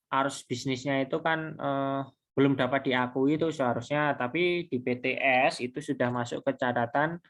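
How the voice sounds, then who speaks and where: Male lecturer, medium pitch. Conversational, halting tutorial delivery, circles back over points as he explains. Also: fairly even intonation, fairly bright tone. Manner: halting, repetitive, conversational, with self-posed questions. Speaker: accounting lecturer. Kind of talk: informal recorded tutorial, explaining step by step.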